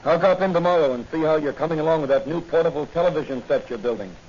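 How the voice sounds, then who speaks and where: A man's voice: on the high side, very fast, darkish tone, lightly acted. An actor playing an earnest, plain-spoken heroic patrolman in a 1940s radio drama. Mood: easygoing. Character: earnest heroic young patrolman, secretly a masked crime-fighter. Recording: dull old radio recording, faint noise.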